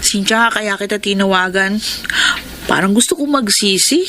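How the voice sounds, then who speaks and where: A woman's voice: medium pitch, moderate pace, bright, forceful tone, slight background noise, close to an everyday voice. An actress playing a concerned woman who urges her friend to leave her brother's company, in a radio drama. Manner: concerned, insistent. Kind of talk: classic radio drama acting.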